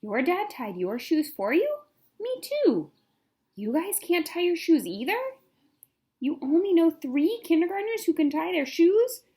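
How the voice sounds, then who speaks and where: A woman's voice: extremely high pitch, very animated, measured pace, dark tone, pitched above the performer's own voice, full character voice. A woman reading a picture book, voicing an anxious little girl of five in a dramatic, earnest, exclamatory way. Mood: surprised, relieved. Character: anxious five-year-old girl, dramatic, dreading kindergarten. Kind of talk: picture-book read-aloud.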